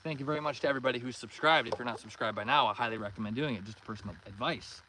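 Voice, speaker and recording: A man with a Canadian accent hosts a casual fishing livestream in a medium-pitched voice. He is friendly and welcoming, greeting viewers and reading their comments aloud. He is recorded outdoors in a canoe, with clear background noise and small clicks. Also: very fast, sharp tone. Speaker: livestream host, angler. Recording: noisy outdoor recording with water and canoe sounds.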